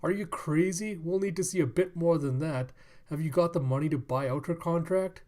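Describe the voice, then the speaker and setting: A man's voice: a little high, moderate pace, warm tone, pitched above the performer's own voice, lightly acted. A man voicing a mocking, jeering thug who works at a pleasure house. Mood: scornful.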